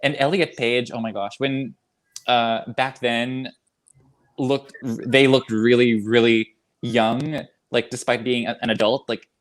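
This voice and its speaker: A man's voice: mid-range voice, slow. Chatty man in casual book talk. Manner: animated, lots of fillers, jumps in eagerly. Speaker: book club co-host. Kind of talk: casual chat.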